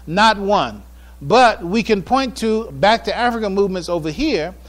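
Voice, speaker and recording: A man with an American accent speaks in a notably high register. He delivers a prepared lecture in formal, deliberate oratory, leaning firmly on key phrases. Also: animated. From United States. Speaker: speaker giving a public address. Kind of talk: prepared religious and historical lecture read from notes.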